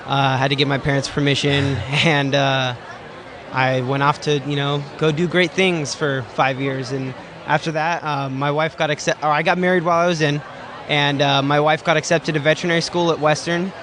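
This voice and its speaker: A young man from Southern California answering interview questions in a relaxed, hesitant way. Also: on the high side, moderate pace, a crowd in the background.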